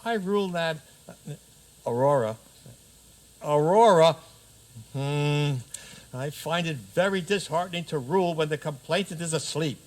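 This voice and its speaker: A man playing a pompous, hurried frog king who presides as judge in a radio theater comedy, with regal, hesitant pronouncements. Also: on the high side, lightly acted, low-quality recording. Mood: judicial, weary.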